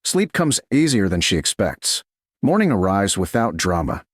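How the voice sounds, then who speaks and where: A man narrating a romance story in a slow, soft, deliberate storytelling manner. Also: sits low, sing-song, dry recording, clean studio sound. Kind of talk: scripted audiobook narration of a romance.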